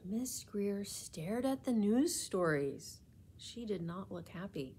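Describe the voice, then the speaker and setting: A woman storyteller with a medium-pitched voice reads a children's book aloud. Her phrasing is deliberate and expressive, and there is faint noise in the background. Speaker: library storyteller.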